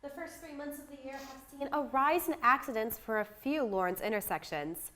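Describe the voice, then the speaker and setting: A woman's voice: high voice, normal speed, sharp tone. A woman anchoring a TV newscast, reading a scripted introduction. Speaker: TV news anchor.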